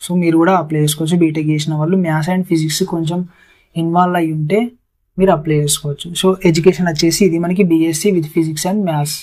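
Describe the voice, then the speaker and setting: A man's voice: on the high side, little pitch movement, quick pace, darkish tone. A man presenting job updates as an informal explainer, repeating key points and mixing in English terms.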